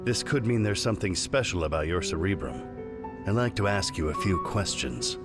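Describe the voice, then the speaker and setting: A man's voice: low voice, lively intonation, moderate pace, over music. An actor playing a detached, condescending middle-aged male scientist in a video game, speaking in a clinical, matter-of-fact way. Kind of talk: scripted video game cutscene acting.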